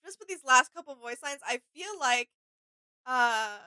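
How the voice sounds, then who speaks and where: A woman's voice: high voice, expressive, measured pace, sharp tone, clear, resonant tone, no echo. A woman co-hosting a reaction video, chatting casually and thinking aloud in a hesitant, conversational way.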